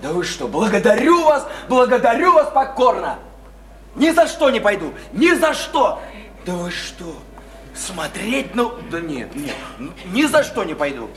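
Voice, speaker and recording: An actor in a Russian radio play playing a flustered, squeamish, self-important lodger who stammers and repeats himself fussily. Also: male voice, high-pitched, very animated, unhurried, a bit bright, heavily acted. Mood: indignant, appalled. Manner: stammering, repetitive, fussy, indignant. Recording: noisy, roomy radio play recording.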